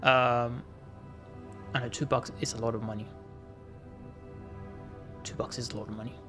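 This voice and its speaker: A man's voice: medium pitch, little pitch movement, moderate pace, music in the background. A man streaming a video game, rambling with jokey asides as he plays. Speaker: gaming streamer. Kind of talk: casual gameplay commentary.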